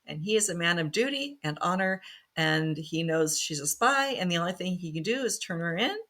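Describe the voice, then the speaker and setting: A woman's voice: fairly low pitch, animated, a little fast, a bit bright. A woman author, a former pharmacist, talking casually in an interview with many fillers and restarts and easy laughs.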